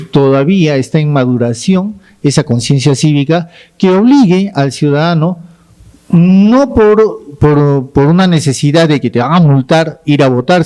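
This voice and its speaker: A man from Peru on a debate panel, explaining his position with halting restarts. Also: a little high, warm tone.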